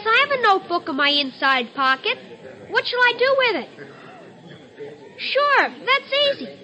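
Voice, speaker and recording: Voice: extremely high pitch, sharp tone, heavily acted, rough recording. An actor voicing a naive, earnest, confused young innocent from heaven in an old-time radio comedy. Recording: old radio drama, crowd behind.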